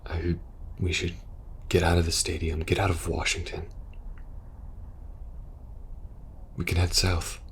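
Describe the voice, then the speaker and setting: A man playing a war-weary, protective soldier in an intimate ASMR audio roleplay, speaking earnestly and hesitantly, sometimes hushed. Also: sits low, completely flat delivery, normal speed, a little hiss or hum. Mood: worried, pleading. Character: war-weary young male soldier pleading with best friend, protective, earnest.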